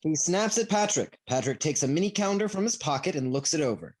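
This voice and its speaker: Animated man reading stage directions. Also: a little high, fast talker. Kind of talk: scripted narration for a comedy table reading. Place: remote reading over a video call.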